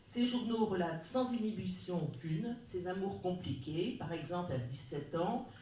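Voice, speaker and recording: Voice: mid-range voice, expressive, moderate pace, warm tone, slight background noise. Distant speaker in a very echoey room. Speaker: round-table speaker. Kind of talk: reading a prepared talk.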